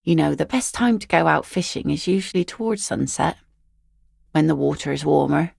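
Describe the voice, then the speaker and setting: A woman voicing an easygoing, philosophical seafaring mother in a video game, with a wry, gently teasing, folksy manner. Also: sits low, lively intonation, normal speed, vocal fry, slightly rough, no room sound, clean studio sound, exaggerated voice. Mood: wistful, musing. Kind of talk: naturalistic video game dialogue acting.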